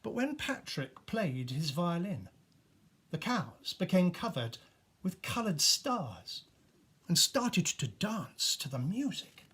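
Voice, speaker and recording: A man reading a children's storybook aloud in an animated, expressive way with dramatic pauses. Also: sits high, slightly rough. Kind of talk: animated scripted storybook narration.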